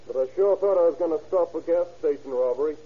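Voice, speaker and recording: A man's voice: fairly high pitch, lightly acted. A man playing a folksy patrol cop, tinny radio. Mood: sheepish. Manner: brisk, folksy. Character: male police officer in a patrol car, suspicious and eager. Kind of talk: 1930s radio drama acting. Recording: tinny, hissy old radio recording.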